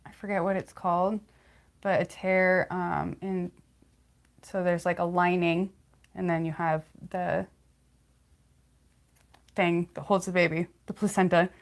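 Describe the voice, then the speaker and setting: Young woman livestream host, slightly low voice, faint lisp, talks in halting casual bursts with frequent fillers. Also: level tone, gravelly. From California, United States. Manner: halting, many fillers and restarts.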